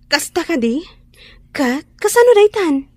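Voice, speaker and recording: A very high, swooping woman's voice with a Filipino accent, playing an excitable friend. She sounds sympathetic, in radio drama style, recorded dry and close. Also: a little slow, no room sound. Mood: surprised, concerned.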